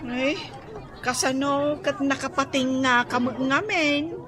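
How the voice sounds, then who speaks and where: An actress playing an excitable, jealous, scolding wife in an Ilocano comedy radio drama, with an Ilocano accent. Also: female voice, sits high, slow. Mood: sympathetic.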